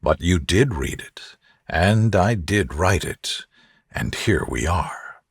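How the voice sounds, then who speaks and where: A man voicing an earnest, reserved gentleman in love with a low, tender, measured manner, in a bedtime storybook. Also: lively intonation, vocal fry, husky, no room sound, clean studio sound, exaggerated voice. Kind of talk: soothing bedtime storybook dialogue.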